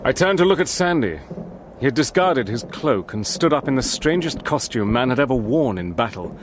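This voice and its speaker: An actor playing a steady, soldierly adventurer, narrating his wartime story in a measured, grave manner. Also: mid-range voice, noisy background, natural voice. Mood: grave, tense. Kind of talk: scripted dramatic narration.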